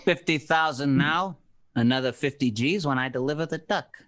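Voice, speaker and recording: Man playing a bright-voiced hard-boiled private detective. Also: a little high, moderate pace, close to an everyday voice. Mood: cool, hard. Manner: terse, wisecracking. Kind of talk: noir radio play narration and dialogue.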